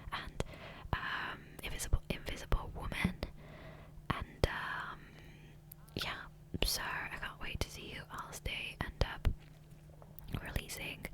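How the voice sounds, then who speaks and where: A woman whispering softly and breathily in a casual, rambling ASMR gameplay chat, close to the microphone. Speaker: ASMR video host. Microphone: close USB condenser microphone.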